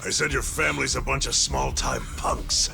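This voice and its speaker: A man's voice: medium pitch, big pitch swings, normal speed, creaky, music in the background. An actor playing a cruel male prison bully who sneers, taunts and swears, in a video game dub. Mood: mocking.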